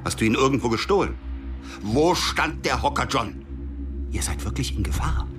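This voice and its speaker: A man's voice: medium pitch, sing-song, normal speed, lightly acted. An actor playing an urgent, distrustful interrogator in a radio drama, protective underneath as he pushes with short questions. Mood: pressing. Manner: pressing short questions, drops to whispers. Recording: music behind the voice.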